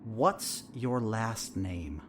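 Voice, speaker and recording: An actor playing a controlled, menacing male therapist in an audio drama, with measured, pointed questioning. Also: fairly low pitch, expressive, background noise. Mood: pressing.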